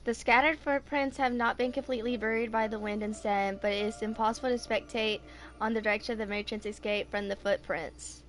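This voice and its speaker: A woman in her twenties with a fairly high voice narrates her own game playthrough. She reads the text aloud casually and talks to herself in an unhurried way. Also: steady tone, rough recording. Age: 20s. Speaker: gaming video creator.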